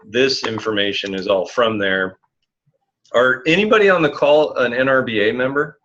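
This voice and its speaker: A man's voice: mid-range voice, moderate pace, a bit bright. A man hosting a group conference call in a casual, informal meeting style. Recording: dull lo-fi conference call audio.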